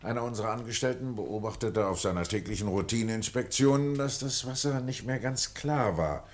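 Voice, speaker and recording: A man's voice: mid-range voice, normal speed, lightly acted. A man acting in a German radio play as a polite, worried waterworks official, explaining earnestly with some hesitation. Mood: concerned, explanatory.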